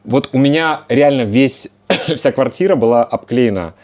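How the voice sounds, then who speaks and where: A man hosting a casual conversational podcast and teaching, speaking in a relaxed way and thinking aloud with drawn-out words. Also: medium pitch. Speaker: podcast host and Russian teacher.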